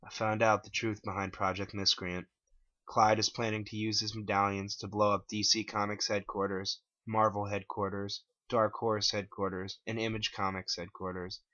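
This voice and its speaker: A man's voice: fairly low pitch, little pitch movement, normal speed, sharp tone, no echo, highs cut off. A man giving a dramatic scripted narration as the author of a first-person story. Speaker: author reading own story.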